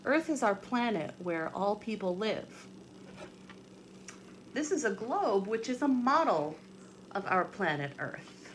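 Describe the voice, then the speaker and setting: With some background noise around her, a woman reads aloud as a teacher to young children. Her pace is slow and her pitch is average for a woman. She explains patiently in simple words, often repeating herself and asking questions. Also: very animated, poor audio. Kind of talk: read-aloud lesson with explanatory asides for children.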